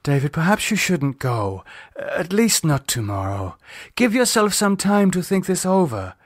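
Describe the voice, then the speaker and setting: A man's voice: high voice, big pitch swings, unhurried, no room sound, studio quality, voice pushed up, heavily acted. A man voicing a worried, caring woman in an audiobook, questioning earnestly with some reproach. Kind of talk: audiobook character dialogue.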